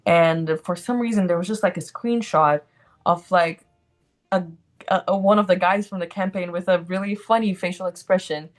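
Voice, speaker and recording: A woman's voice: on the low side, steady tone, moderate pace, faint music behind. Chatty young Brazilian woman actor. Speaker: guest, actor. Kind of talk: casual interview chat.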